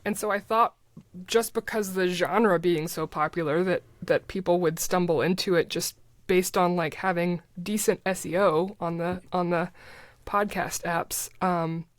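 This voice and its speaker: A middle-aged woman speaking as a podcast guest in a casual, halting, informal and rambling way. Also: fairly low pitch, fairly even intonation, no echo. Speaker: podcast guest, audio drama creator. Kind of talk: casual interview chat.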